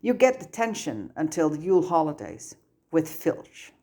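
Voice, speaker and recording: Woman voicing a firm, low-voiced male teacher. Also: very animated, mellow, exaggerated voice. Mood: stern, cold. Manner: firm, measured, dryly patient. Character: stern but fond adult male teacher. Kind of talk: storybook dialogue reading.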